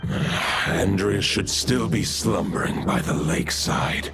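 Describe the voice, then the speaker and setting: An actor playing an ancient, vast male wolf god who speaks in a grave, archaic, measured way, in a video game. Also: music in the background, full character voice. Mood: solemn. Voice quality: deep gravelly voice.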